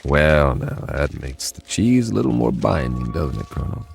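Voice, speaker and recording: An actor playing a wry, idealistic government codebreaker in an audio drama, talking in a folksy, conversational way. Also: male voice, extremely low pitch, sing-song, normal speed, gravelly, soft background music, full character voice. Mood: dryly amused. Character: skeptical-of-war, idealistic male government codebreaker, wry and weary. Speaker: lead actor and narrator. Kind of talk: audio drama acting with first-person narration.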